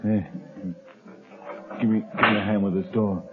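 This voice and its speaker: A man's voice: on the low side, fairly even intonation, a little slow, heavy background noise, lightly acted. An actor playing a jumpy gangster henchman. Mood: gruff. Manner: rough tough-guy speech with nervous chuckles. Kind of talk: 1930s radio acting. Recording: tinny old radio broadcast.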